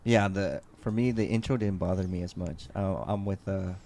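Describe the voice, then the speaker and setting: Relaxed low-voiced man on a panel. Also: moderate pace, creaky, slight background noise. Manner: relaxed, agreeable, chatty. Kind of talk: casual music critique.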